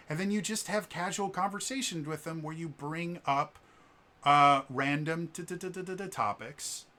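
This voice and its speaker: A man with a bass voice but a bright, forceful edge to his tone, talking casually to his vlog audience. He explains at length, leaning on fillers and catching on stuttered sounds now and then. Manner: explanatory, chatty, with many fillers. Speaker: vlogger.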